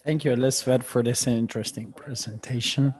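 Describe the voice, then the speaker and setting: A man interprets a panel moderator in real time. His voice sits at an average pitch for a man. His phrasing is loose and hesitant. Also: steady tone, fairly quick, crowd noise.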